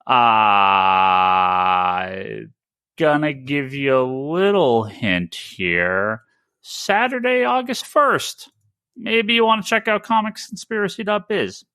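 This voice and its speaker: Bright-voiced man hosting a casual podcast. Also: mid-range voice, lively intonation, slow pace, clean tone, no room sound, studio quality. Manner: informal, rambling, conversational. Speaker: podcast host. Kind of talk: casual podcast chat with listener shout-outs and plugs.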